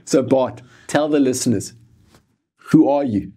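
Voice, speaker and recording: A man in his thirties hosts with an animated, swinging pitch and a slightly husky tone. He sounds eager and reactive, chiming in often with short exclamations. Recorded seated on a table microphone. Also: unhurried. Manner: eager, interjects "wow" often. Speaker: podcast host. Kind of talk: enthusiastic interview hosting. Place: ornate room, seated interview. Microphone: studio microphone on table.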